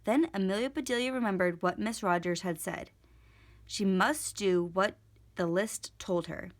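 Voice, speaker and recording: A woman reading a storybook aloud for children in an expressive, deliberate storytelling manner. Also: mid-range voice. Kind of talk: scripted storybook read-aloud for kids.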